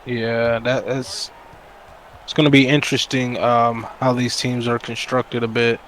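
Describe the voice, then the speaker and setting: A man's voice: mid-range voice, level tone, unhurried, a bit bright, a little hiss or hum, poor audio. A man giving color commentary on a simulated basketball stream in a laid-back way, breaking down players.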